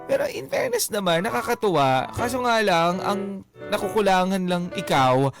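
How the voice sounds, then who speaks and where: A man's voice: high voice, wildly swooping pitch, moderate pace, gravelly. A man, talking casually and teasingly as host of an advice show. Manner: teasing, conversational. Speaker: radio host. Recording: faint music behind.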